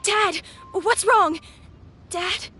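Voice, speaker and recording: Game role, young girl: high light voice, earnest, anxious, caring daughter. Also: very slow, bright, forceful tone, soft background music, exaggerated voice. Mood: frightened. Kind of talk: video game voice acting.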